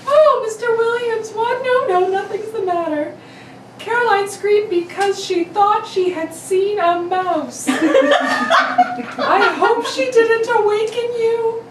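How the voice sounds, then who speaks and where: A woman's voice: very high voice, lively intonation, takes it slowly, exaggerated voice. A woman playing a frightened, proper older aunt in an old-time radio play, with a melodramatic, pleading manner. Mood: forced calm. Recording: roomy, distant mics with faint noise.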